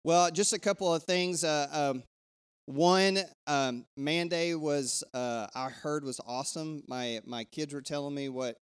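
A man's voice: a little slow, clean tone. A man, a pastor giving church announcements in a loose, conversational way, full of fillers and restarts. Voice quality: bassy. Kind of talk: church announcements before a sermon.

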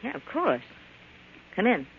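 A woman's voice: mid-range voice, huge pitch swings, measured pace, vocal fry, heavy background noise, exaggerated voice. A woman playing a hard, scheming, suspicious murder plotter in a 1940s American radio thriller, speaking in a tense, clipped, menacing way. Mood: wary, probing. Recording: muffled old-time radio recording.

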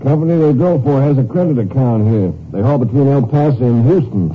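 An actor playing an easygoing, obliging rural gas station attendant in a 1950s radio drama, with a folksy, laconic way of talking. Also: male voice, medium pitch, brisk, breathy edge, lightly acted. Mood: obliging, unhurried. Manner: folksy, laconic yeps and nopes. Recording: dull, noisy old radio recording.

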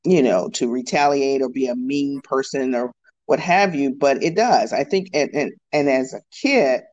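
A man speaks thoughtfully in a casual conversation, hesitating often and laughing easily. His pitch is ordinary, and the sound is a little muffled and lo-fi. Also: moderate pace, darkish tone.

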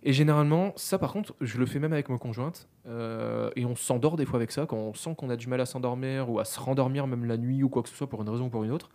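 A man's voice: mid-range voice, fast talker, few pauses, dark tone. A man co-hosting a podcast, chatting casually and at length, with many fillers and asides. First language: French. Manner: talkative, self-deprecating, many fillers. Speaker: podcast co-host.

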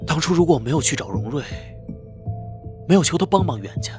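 Proud, emotional young man, lively pitch, over music. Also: quick pace. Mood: bitter, hurt. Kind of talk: Chinese audio drama acting. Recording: music behind the voice.

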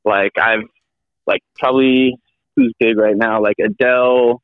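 A man's voice: mid-range voice, steady tone, smooth, dry recording. Rambling young man on a tinny line. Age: 20s. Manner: rambling, halting, with many restarts and fillers. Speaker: guest. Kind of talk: casual podcast chat.

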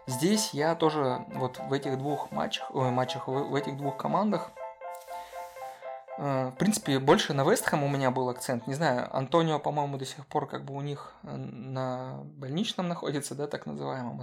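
A man's voice: medium pitch, creaky. A man co-hosting a casual football podcast, talking with many fillers and restarts. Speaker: podcast co-host.

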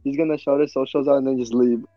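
A man's voice: mid-range voice, steady tone, fast, no room sound, lo-fi sound. A man in his late teens from Canada, co-hosting a podcast with short, teasing slangy remarks. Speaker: podcast co-host. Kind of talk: casual chat.

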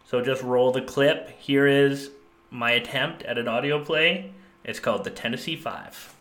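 A man hosting a comedy podcast with a bright, forward voice, trading jokes and deadpan bits. The sound carries the echo of a live room. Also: medium pitch, a little slow. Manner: joking, laughing, deadpan bits. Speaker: podcast co-host. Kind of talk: casual comedic podcast chat.

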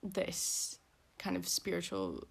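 A woman's voice: on the low side, big pitch swings, slow, gravelly, husky. A young woman with a Belfast accent, talking casually as an interview guest, rambling with many fillers. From Northern Ireland.

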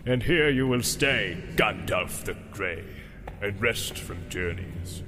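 A man putting on a slow, hushed, sneering old wizard. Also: fairly low pitch, in a large hall, background noise, pitched below the performer's own voice. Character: scornful proud old male wizard, cold and slow.

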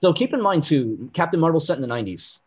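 A man co-hosting a casual pop-culture podcast, explaining things at length with lots of "like" and "um" fillers, and getting loud and animated when something riles him. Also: on the high side, quick pace, crowd noise. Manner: rambling, heavy on "like" and "um" fillers. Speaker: podcast co-host.

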